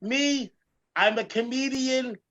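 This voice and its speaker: A man hosting a talk show as a comedian and drag performer, ranting emphatically in a theatrical way with a gravelly edge and repeating phrases for effect. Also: very high voice, slow, fairly bright tone, highs cut off. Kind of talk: animated talk show rant.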